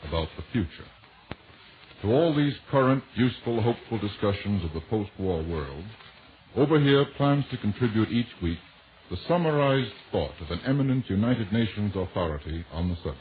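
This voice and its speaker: A man announcing a 1940s radio program from a script in a formal, measured way. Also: lowish voice. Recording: tinny, noisy 1940s radio broadcast.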